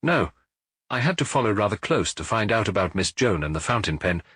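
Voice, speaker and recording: A man voicing a little priest detective in an audiobook, gentle and earnest as he explains in a measured, lecturing way. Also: lowish voice, husky, no room sound, clean studio sound, full character voice. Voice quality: gentle, even. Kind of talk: audiobook character dialogue.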